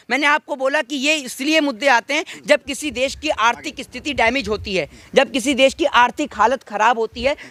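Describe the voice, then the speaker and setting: A man's voice: very high-pitched, pushed and bright. A man arguing politics in a heated impromptu debate, insistent and repeating phrases for emphasis. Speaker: interviewee arguing political views.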